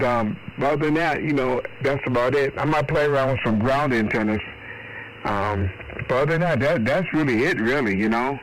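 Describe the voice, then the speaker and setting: A man from Boston chats casually over shortwave radio with some static behind him. His voice sits at an average pitch. He rambles in a folksy, informal way as an amateur radio operator. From Boston, United States. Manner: folksy, rambling, frequent "man" and "you know". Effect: heard over shortwave ham radio. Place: home radio shack. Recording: noisy shortwave radio transmission.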